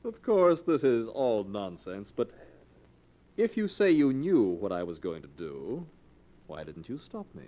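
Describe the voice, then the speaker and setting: An actor playing a greedy young man who kills his cousins for an inheritance, smooth and falsely polite, in a 1940s radio drama on an old radio recording. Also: lowish voice, sing-song, unhurried, dark tone, dull audio. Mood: smooth, sly.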